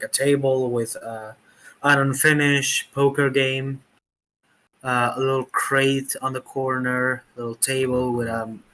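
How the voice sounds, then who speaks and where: A man's voice: medium pitch, sharp tone, low-quality recording. Hesitant male game master. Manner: hesitant, full of fillers and restarts. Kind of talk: narrating a tabletop game. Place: home setup on a livestream.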